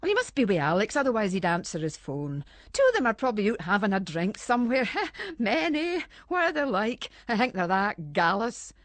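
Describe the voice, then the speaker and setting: A woman's voice: mid-range voice, big pitch swings, a little fast, dry recording, close to an everyday voice. An anxious Scottish housewife, played by a woman, chatters away in broad, homely dialect. She rambles nervously in the manner of a radio play monologue. The sound is dry and close, with no room around her. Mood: restless, fretting.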